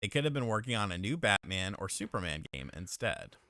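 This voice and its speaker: A man hosting a casual gaming aftershow, reading viewer messages and telling animated, profanity-laced stories. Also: lowish voice, brisk, no echo, clean studio sound. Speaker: podcast host reading super chats. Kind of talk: casual chat.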